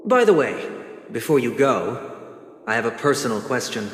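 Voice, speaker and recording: A man's voice: medium pitch, very animated, moderate pace, natural voice. A man as a solemn questioner in a hall. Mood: solemn, probing. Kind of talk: video game cutscene acting. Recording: echoey hall, faint noise.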